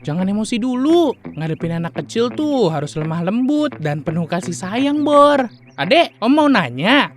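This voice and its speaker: A man voicing a preachy, excitable cartoon buddy with a Jakarta accent. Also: high-pitched, moderate pace, soft background music. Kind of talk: comic cartoon dubbing.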